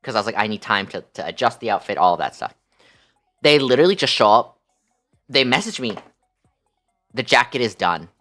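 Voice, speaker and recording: A woman livestream host telling a story casually, in an animated, incredulous, slangy way. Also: a bass voice, brisk, pauses often, soft background music.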